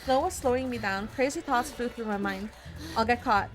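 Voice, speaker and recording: A woman's voice: fairly high pitch, normal speed. A woman game streamer reading a horror visual novel aloud, dramatically, with spontaneous asides. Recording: music behind the voice. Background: game music.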